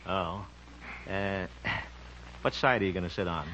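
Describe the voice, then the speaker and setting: A man's voice: low-pitched, unhurried, fairly bright tone, slight background noise. A man playing a jovial, folksy small-town radio homemaker in old-time radio comedy style, chuckling and wisecracking. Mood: cheerful. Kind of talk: 1940s-50s radio sitcom acting. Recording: muffled old radio recording, music behind.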